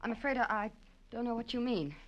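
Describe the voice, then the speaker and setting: A woman playing a frightened, evasive young hostage with halting speech in a classic radio drama. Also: a little high, expressive, muffled recording, close to an everyday voice. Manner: halting, faltering. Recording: noisy old radio drama recording.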